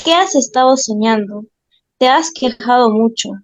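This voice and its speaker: Woman acting high-voiced young wife, Adelaida. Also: measured pace, no room sound, lo-fi sound, close to an everyday voice. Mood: questioning, concerned. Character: Adelaida, anxious young superstitious Andean wife. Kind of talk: amateur radio drama acting.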